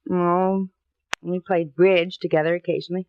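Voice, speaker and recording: A woman's voice: fairly low pitch, level tone, normal speed, natural voice. An actress playing a chatty, curious single woman in a 1950s radio drama, breezy and inquisitive. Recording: dull vintage radio recording.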